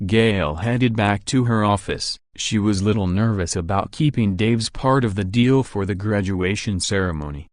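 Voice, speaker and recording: A man's voice: fairly low pitch, normal speed, hardly any pauses, no echo, clean studio sound. A man narrating a visual novel from a script.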